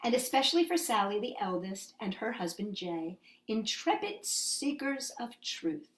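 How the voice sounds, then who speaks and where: A woman's voice: mid-range voice, sing-song, measured pace. A woman hosting story time for young children, reading and talking expressively and putting simple questions to her listeners. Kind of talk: story time for young children with read-aloud and sing-along songs.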